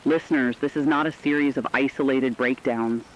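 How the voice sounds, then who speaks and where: A somber field reporter in a radio drama, played by a man, delivers urgent news in a medium-pitched voice. The phrasing is formal and deliberate. The broadcast sounds dull and lo-fi. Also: steady tone, natural voice. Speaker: news correspondent. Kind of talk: scripted emergency radio broadcast.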